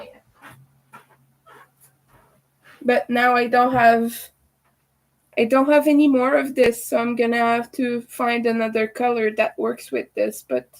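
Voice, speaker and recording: A young woman with a raspy, hoarse voice, chatting casually with viewers as she crochets on a livestream. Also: a little high, low-quality recording. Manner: chatty, talks to viewers while working. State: hoarse from streaming a lot. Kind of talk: casual chat while crocheting live.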